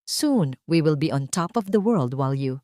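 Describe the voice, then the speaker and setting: A woman's voice: low-pitched, expressive, normal speed, warm tone, no echo, studio quality. Woman acting a haughty, sneering rich socialite. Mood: mocking, haughty. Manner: mocking, condescending, Taglish code-switching.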